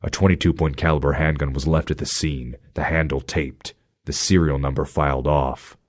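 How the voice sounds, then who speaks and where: A man playing a brooding, commanding masked vigilante detective in an audio drama, terse and grave with a low growl. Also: darkish tone, no room sound, studio quality. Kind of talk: fan audio drama acting with noir narration. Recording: music under the voice.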